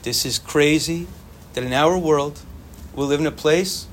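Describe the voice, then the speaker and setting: A young man whose first language is Yiddish, giving an informal, animated Torah talk to students and repeating phrases for emphasis. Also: fairly high pitch, measured pace, slight background noise. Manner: animated, repetitive, sprinkles Hebrew and Yiddish terms.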